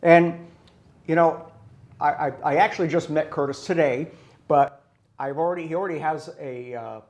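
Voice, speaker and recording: A man from the US Upper East Coast hosting a casual interview show, chatty and quick to laugh. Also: fairly high pitch, a little mellow. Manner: chatty, laughs easily, restarts often. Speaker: talk show host.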